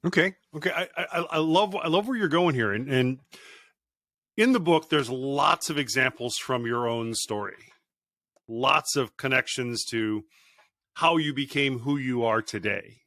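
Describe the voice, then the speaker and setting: Man interviewing on a podcast, mid pitch, stammers at sentence starts, dry mic. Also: measured pace, sharp tone, no echo. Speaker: guest host, leadership coach.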